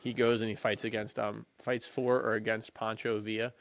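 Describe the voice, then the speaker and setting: A man's voice: lowish voice, flat delivery, normal speed, creaky, no room sound. A man co-hosting a podcast, chatting casually and enthusiastically in slang about adventure stories. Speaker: podcast co-host. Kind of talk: casual chat about adventure books and films.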